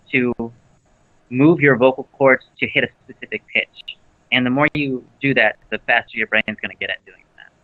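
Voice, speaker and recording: A man co-hosting a livestream, chatting casually with lots of fillers and restarts about a naturally low voice. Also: no room sound, phone-quality sound. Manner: rambling, with many fillers and restarts. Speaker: livestream co-host.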